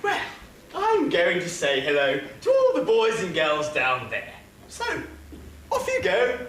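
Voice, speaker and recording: A man's voice: high-pitched, wildly swooping pitch, measured pace, a bit bright, exaggerated voice. Bloke doing a scatty motherly english panto dame, voice all over the place, far from the mic. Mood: apologetic, cheery. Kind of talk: amateur stage pantomime acting. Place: theatre stage. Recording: echoey noisy theatre, distant microphone.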